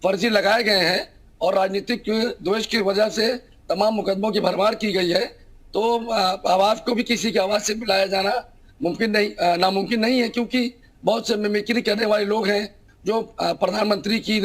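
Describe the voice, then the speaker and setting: A man's voice: high-pitched, fairly even intonation, moderate pace. A man, a lawyer explaining a case in a news interview.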